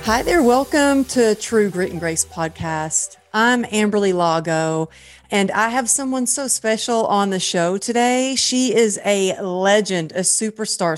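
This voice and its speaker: A woman's voice: medium pitch, no room sound, studio quality. A middle-aged woman hosting a podcast as a mindset coach, speaking in an effusive, admiring manner. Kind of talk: podcast intro and interview.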